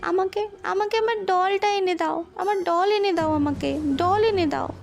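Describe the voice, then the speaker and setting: A woman's voice: very high-pitched, unhurried, creaky, voice pushed up, full character voice. A woman voicing a willful, insistent little girl who clings to her doll in a ghost story. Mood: pleading. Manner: insistent, childish, repeating herself.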